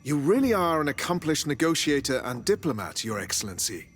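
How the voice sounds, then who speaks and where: An actor playing a polite, cautious colonial emissary in a video game, speaking formally. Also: male voice, fairly high pitch, big pitch swings, brisk, a bit bright, soft background music. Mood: impressed, polite. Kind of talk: video game dialogue acting.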